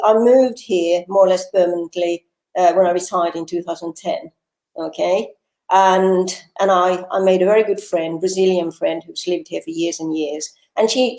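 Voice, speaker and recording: A woman's voice: lowish voice, darkish tone, reverberant, poor audio. An older woman born in Finland, a retired teacher, chatting as a guest in an interview with a Finnish-tinged British accent, speaking articulately with frequent restarts. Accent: Finnish-tinged British English. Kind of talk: conversational interview.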